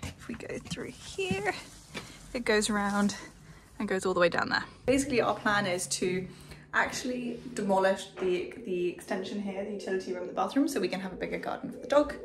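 A young woman talks casually into a phone held at arm's length, candid and chatty as she moves through an empty house and out onto a street. Her voice sits mid-pitched for a woman, and clear noise runs under the phone audio. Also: lively intonation, a little fast. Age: young adult. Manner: chatty, candid, talks while walking around. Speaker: vlogger. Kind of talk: casual vlog narration. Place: empty house indoors, then outdoors on a street.

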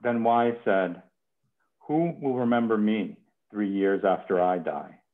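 A man's voice: lowish voice, slow pace. A man in his thirties hosting a poetry reading from home over a headset on a video call. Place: home kitchen. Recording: muffled phone-like video call.

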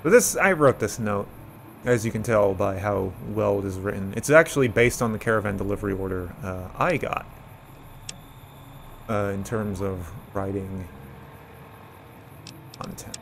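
A man's voice: lowish voice, a little slow, vocal fry, pauses often, a little hiss or hum. A man giving casual playthrough commentary on a video game, talking cheekily to the enemies as he plays. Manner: talks to game enemies, mock-taunting, swearing. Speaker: gameplay streamer and mod author.

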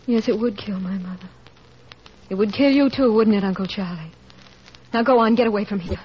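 A woman's voice: medium pitch, a little fast, slight background noise, natural voice. An actress playing an earnest, troubled teenage girl in a 1940s radio drama. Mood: bitter, firm. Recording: dull 1940s radio recording, music behind.

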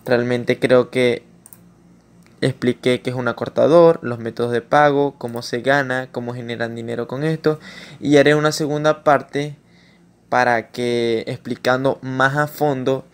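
A man from Latin America talks through a screen-recorded tutorial, relaxed and informal. His voice is smooth and resonant, at an ordinary pitch for a man. He often hesitates with fillers and starts sentences over. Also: unhurried. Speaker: YouTuber explaining online earnings.

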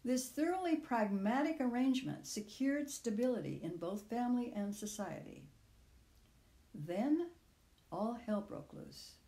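An older woman reading her own personal essay aloud in measured, deliberate phrases. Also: fairly high pitch, animated, warm tone, echoey room.

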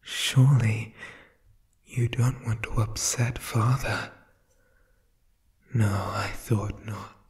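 A man's voice: on the low side, steady tone, slow, creaky, pauses often, a little mellow. A man playing a sadistic, doting demonic father in an ASMR horror roleplay, whispering with sinister mock tenderness. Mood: softly cruel.

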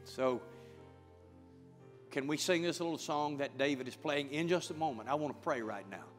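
Male pastor, middle-aged, high voice, fervent sermon and prayer, long church-hall reverb. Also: normal speed. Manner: fervent, building to loud praying. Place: large church sanctuary with congregation. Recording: church hall with music behind.

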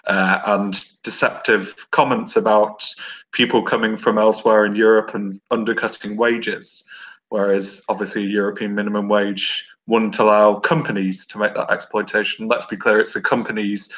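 A young man moderating a webinar over a video call, speaking briskly and persuasively with many fillers. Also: fairly low pitch, a bit bright. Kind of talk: casual discussion. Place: indoor room with brick wall. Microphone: video call webcam.

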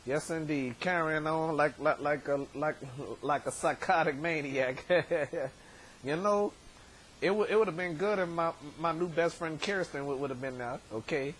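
Man, hoarse, thirties, rambling repeats, bedroom webcam, light hiss. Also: a little high, unhurried, fairly bright tone, rough recording. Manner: rambling, many stammered repeats. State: hoarse, voice worn from shouting. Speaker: show host. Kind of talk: casual vlog shout-outs. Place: bedroom, indoors. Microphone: webcam at desk distance.

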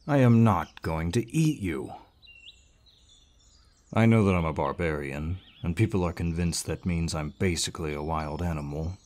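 Man playing a deep, slow-spoken barbarian warrior. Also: darkish tone. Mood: teasing, reassuring. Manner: measured, reassuring, with pauses and sighs. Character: large gruff but kindly wandering barbarian warrior man. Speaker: voice actor in audio roleplay. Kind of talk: scripted audio roleplay, addressing the listener. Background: birdsong forest sound effects.

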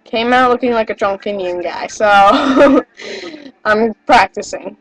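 A woman's voice: on the high side, unhurried, dry recording, poor audio. A teenage girl rambling casually to her webcam, getting over a cold and sounding a little nasal, full of fillers and apologies. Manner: chatty, rambling, self-deprecating, many fillers. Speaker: vlogger. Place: small wood-paneled bedroom indoors. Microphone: built-in webcam microphone.

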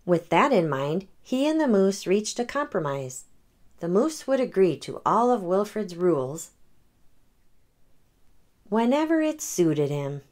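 A woman hosting a children's read-aloud, narrating a picture book in an expressive storytelling manner. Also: mid-range voice, a little slow, long pauses.

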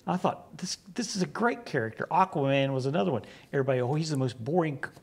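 Guest, man in his 60s, West Virginian accent, gravelly, easygoing storyteller, lapel mic. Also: on the high side, animated, fast talker. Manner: rambling, anecdotal, acts out quoted exchanges. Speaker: guest, comic book writer. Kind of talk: relaxed storytelling interview. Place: small studio set.

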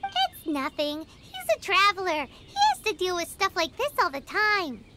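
A woman voicing a tiny, chirpy, excitable fairy companion with a squeaky childlike voice in a video game. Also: moderate pace, very noisy, exaggerated voice. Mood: casual. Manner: chirpy, exclamatory, refers to self in third person. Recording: loud music behind the voice. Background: game music.

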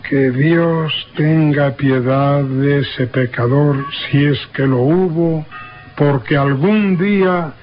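An actor playing a grave, pious village priest in an old radio drama, with a solemn, deliberate delivery. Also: male voice, a little high, soft background music. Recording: muffled, noisy vintage radio recording.

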